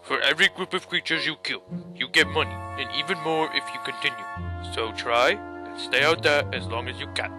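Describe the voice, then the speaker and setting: A man's voice: fairly high pitch, fairly quick, close to an everyday voice. A man playing a curt, commanding fighters' coach in a fantasy parody. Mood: brisk, bossy. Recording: music behind the voice.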